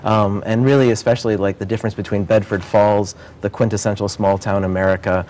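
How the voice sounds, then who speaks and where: Low-voiced, earnest man being interviewed. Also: level tone, fairly quick, darkish tone, a little hiss or hum. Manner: earnest, enthusiastic. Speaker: theater director being interviewed. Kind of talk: promotional interview about a stage production.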